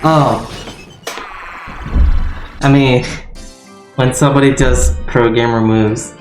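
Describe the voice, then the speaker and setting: A man's voice: mid-range voice, fairly even intonation, slow. A young man chatting on a live game stream, rambling, distracted and poking fun at himself. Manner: rambling, self-mocking, easily distracted. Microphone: home streaming mic. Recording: echoey room with game music.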